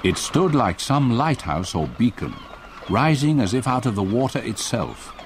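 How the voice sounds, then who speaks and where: An actor playing an older English retired solicitor, narrating his memories in measured, literary radio drama style. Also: medium pitch, big pitch swings, slight background noise, lightly acted. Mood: awed, grave. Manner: measured, literary, vivid storytelling. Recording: clear outdoor effects behind narration.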